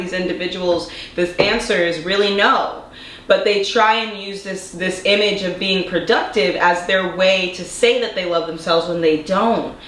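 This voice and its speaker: A woman's voice: fairly low pitch, fairly bright tone. A young woman vlogger, a little congested, talking earnestly to camera in a casual monologue and throwing out rhetorical questions. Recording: echoey room with faint noise.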